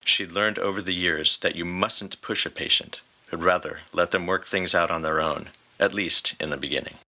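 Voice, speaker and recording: A man's voice: deep voice, steady tone, normal speed, bright, forceful tone. A man reading scripted story narration as the narrator. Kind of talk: scripted fan fiction narration.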